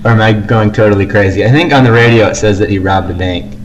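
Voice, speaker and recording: A man with a fairly low voice co-hosts a podcast in casual conversation. He talks through his opinions informally, over a faint bed of background noise. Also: quick pace, lo-fi sound. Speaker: podcast co-host.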